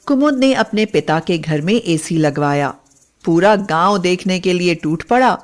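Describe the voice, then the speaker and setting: A woman's voice: on the low side, lively intonation, measured pace. A woman narrating a scripted moral story as a storyteller.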